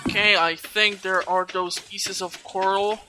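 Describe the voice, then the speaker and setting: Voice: mid-range voice, flat delivery, a little slow, noisy background. A person giving an informal video game walkthrough, thinking aloud while playing. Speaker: gaming YouTuber, walkthrough host. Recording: loud game music behind. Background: video game music.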